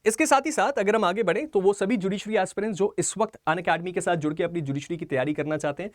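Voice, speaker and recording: Male lecturer, high voice, dry sound; emphatic explainer for exam students, switching into English for key terms. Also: fast talker, no echo. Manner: emphatic, mixes English legal terms into Hindi. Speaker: law exam coach.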